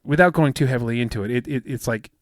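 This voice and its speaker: A man in his fifties with a soothing, smooth voice, chatting casually as a podcast co-host and rambling with many fillers and restarts. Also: mid-range voice, fast talker, no room sound, clean studio sound. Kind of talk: casual chat.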